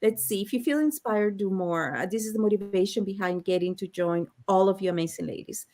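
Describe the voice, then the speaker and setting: A woman's voice: medium pitch, fairly quick, a little mellow. A woman hosting a casual panel chat on a video call, telling stories with frequent laughs. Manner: chatty, laughing storyteller. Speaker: host.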